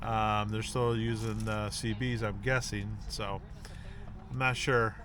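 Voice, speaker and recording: A man's voice: fairly low pitch, little pitch movement, takes it slowly. A man in his fifties talking casually to camera in a plain-spoken, informal way, as a vlog host. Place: outdoors by a driveway and inside a car. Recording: clear road and car noise.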